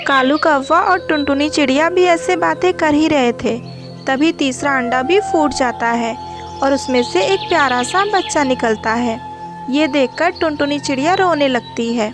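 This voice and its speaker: Very high-pitched woman storyteller. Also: moderate pace, music in the background. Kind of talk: scripted children's story narration.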